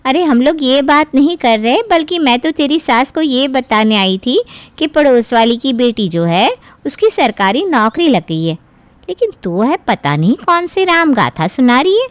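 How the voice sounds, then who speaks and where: A woman's voice: high voice, animated, moderate pace, no echo. A woman playing a blunt, reproachful middle-aged neighbourhood auntie in a cartoon story. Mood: scornful. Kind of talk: cartoon voice acting.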